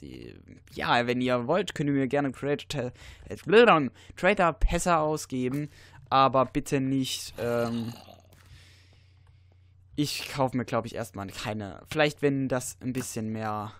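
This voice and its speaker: A teenage boy with a cold, giving rambling casual commentary over a video game with many fillers and sudden excited outbursts. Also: medium pitch. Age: teens. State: stuffy, has a cold. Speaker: let's player.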